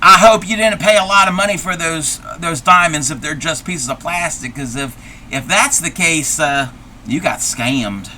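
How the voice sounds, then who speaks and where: A man's voice: on the high side, normal speed, sharp tone, slight background noise. A man voicing a chatty, forgetful old grandmother who rambles, repeats herself and reads viewer chat aloud while crafting on a livestream. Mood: rambling. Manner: rambling, loses her train of thought, repeats herself. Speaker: streamer.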